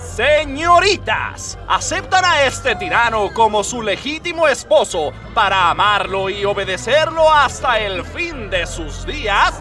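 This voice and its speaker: A man's voice: high-pitched, big pitch swings, a little slow, few pauses. A man playing an obsequious henchman officiant in a comic radio serial, ceremonious, deferential and singsong. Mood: solemnly ceremonious. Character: obsequious officiant henchman performing a solemn mock wedding. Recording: slightly distant, music behind.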